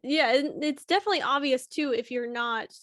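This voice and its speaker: Woman hosting a chat, a bit high voice, keeps saying yeah, dry sound. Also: fairly quick, fairly bright tone, no echo. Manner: encouraging, with frequent short agreements. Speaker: host. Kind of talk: casual interview chat.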